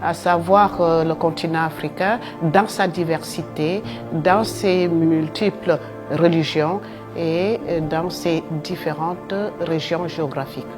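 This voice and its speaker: A woman writer speaking deliberately in an interview, with frequent hesitations. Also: sits low, fairly even intonation, music in the background. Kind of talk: reflective interview testimony.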